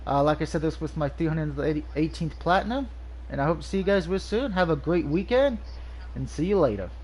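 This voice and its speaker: A man with a slightly high voice talks through a video game review in a loose, rambling way. He sounds nasal and stuffed up from allergies, pausing often on "um" and restarting his sentences. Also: expressive, a little mellow, slight background noise. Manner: rambling, frequent ums and restarts. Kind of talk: casual game review over gameplay.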